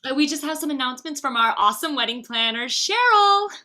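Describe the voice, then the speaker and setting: Woman playing bright, eager bride-to-be. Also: high voice, expressive, fairly quick. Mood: excited. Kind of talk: table read of a screenplay.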